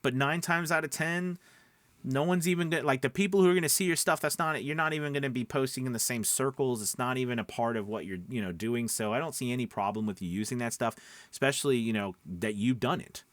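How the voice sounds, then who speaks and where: A man's voice: mid-range voice, quick pace, fairly bright tone, no room sound. A man who coaches voice-over talking casually and emphatically to his viewers, often checking that they follow him. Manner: conversational, emphatic, often checking understanding with rhetorical questions. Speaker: voice-over coach and channel host. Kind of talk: casual advice talk to camera.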